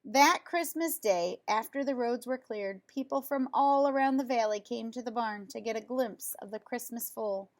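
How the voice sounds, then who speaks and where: A woman reading a children's picture book aloud in an expressive way, as if to young listeners. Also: on the high side, normal speed, hardly any pauses. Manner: expressive, explains words simply to children. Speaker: storybook reader.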